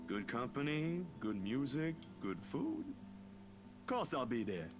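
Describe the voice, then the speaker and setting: A man's voice: medium pitch, huge pitch swings, measured pace, over music. A man playing a polite, unassuming young photographer with a hesitant manner in a visual novel.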